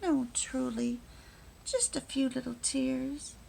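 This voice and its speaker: A woman voicing a kind, soft-hearted young wife who speaks emotionally and haltingly in a read-aloud story. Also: high-pitched, creaky, a little hiss or hum, heavily acted, rough recording. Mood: tearful.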